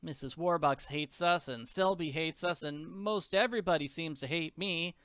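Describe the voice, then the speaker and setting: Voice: mid-range voice, normal speed, a bit bright, clear, resonant tone, dry recording, clean studio sound, lightly acted. A performer voicing an earnest, scrappy orphan girl from a comic strip, talking in folksy slang. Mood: pensive.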